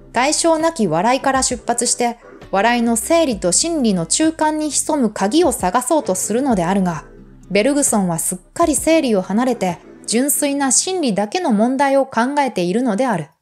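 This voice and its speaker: A woman narrating a literary essay in a measured, formal reading style. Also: sits high, darkish tone. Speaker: audiobook narrator.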